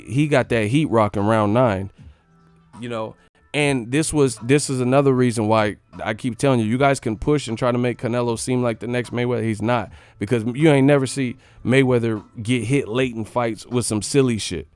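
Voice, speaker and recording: A man's voice: mid-range voice, normal speed, a little mellow, clean tone, no room sound, faint music behind. Clean-voiced American man talking fight analysis. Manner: blunt, slangy, swearing. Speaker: live-stream boxing commentator. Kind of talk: casual opinionated fight analysis to live viewers.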